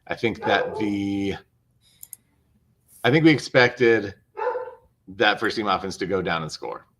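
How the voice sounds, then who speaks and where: A man with a fairly low voice gives casual sports analysis as a co-host on a video call. He is measured and explanatory, restating phrases to press his point. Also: noisy background.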